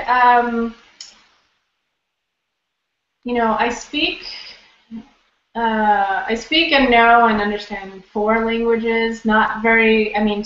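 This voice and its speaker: A woman's voice: a little high, a bit bright. A woman in her thirties, a poet from Los Angeles whose first language is Vietnamese, answering questions thoughtfully over a video call with many fillers. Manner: reflective, with many fillers and restarts. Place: small room indoors. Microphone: laptop webcam on video call. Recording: echoey, dull video call audio.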